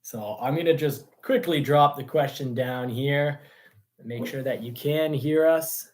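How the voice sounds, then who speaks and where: Rambling man. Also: medium pitch. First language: French. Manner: rambling, halting delivery. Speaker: host of a car news show. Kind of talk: casual livestream chat.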